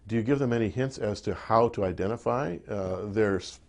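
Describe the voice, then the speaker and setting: A man hosting an interview, asking questions in a halting way with many fillers. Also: fairly low pitch, vocal fry, darkish tone. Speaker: interview host. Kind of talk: interview conversation.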